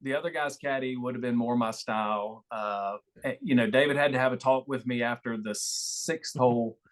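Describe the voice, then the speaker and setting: A man's voice: medium pitch, moderate pace. Man telling stories in casual chat. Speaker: podcast guest.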